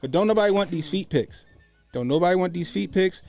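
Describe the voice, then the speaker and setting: A man's voice: high voice, animated, fairly quick, vocal fry, darkish tone, no echo. American man, late thirties, podcast host, slangy casual banter, joking and salty, faint music behind. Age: late 30s. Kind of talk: casual chat. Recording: music bed under speech.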